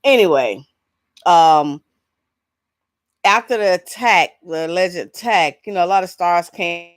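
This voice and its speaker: A woman hosting a casual entertainment vlog, talking in a rambling, halting, informal way. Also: on the low side, no echo, studio quality. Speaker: vlog host. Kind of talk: casual chat, entertainment gossip.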